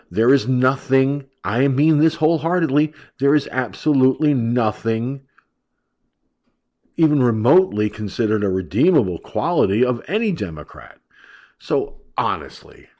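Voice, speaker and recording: A man's voice: mid-range voice, darkish tone. A man hosting an online talk radio show, ranting brashly and profanely about politics, his voice stuffed up and nasal from allergies.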